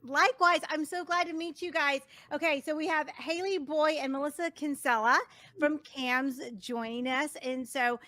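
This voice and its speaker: A woman's voice: sits high, steady tone, moderate pace, bright, forceful tone, no echo. A woman hosting a podcast interview, asking questions in an upbeat, enthusiastic way. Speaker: podcast host.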